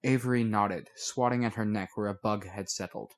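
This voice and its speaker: A man's voice: fairly low pitch, fairly even intonation, moderate pace. Male audiobook narrator, scripted fiction.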